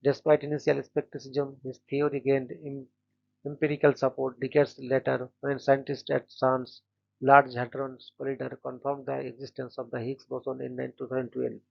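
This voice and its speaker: A man hosting a daily channel, reading short scripted biographies in a flat, steady way and stumbling now and then on names. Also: medium pitch, moderate pace, vocal fry, highs cut off. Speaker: host of a daily events channel.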